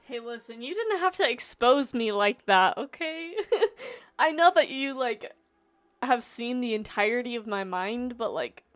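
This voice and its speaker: A woman's voice: a little high, animated, measured pace. A young adult woman streamer giving casual live reactions, talking back to game characters in a slangy, joking way.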